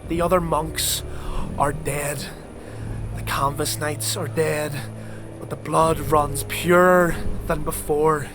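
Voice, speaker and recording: An actor playing a fanatical alchemist twisted by dark blood rites, preaching fervently in an unhinged manner in an audio drama. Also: male voice, fairly high pitch, little pitch movement, slow. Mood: zealous, accusing. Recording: music behind.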